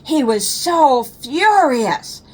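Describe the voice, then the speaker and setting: A woman's voice: big pitch swings, very slow, darkish tone, low-quality recording. An older woman, a storyteller, reading a bedtime story to children. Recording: faint low rumbling background noise.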